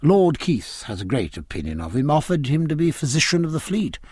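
Guy playing respectful navy commodore from a sea story, measured, tactful, husky dry close sound. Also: fairly high pitch, sing-song, a little mellow, no echo. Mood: assuring. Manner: deferential, measured. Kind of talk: naval historical audiobook character dialogue.

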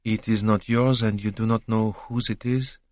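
A man voicing a timid, motherly middle-aged German maid who answers in a hushed, deferential, hesitant way in an audiobook. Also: lowish voice, fairly even intonation, normal speed, dry recording, natural voice. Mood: subdued.

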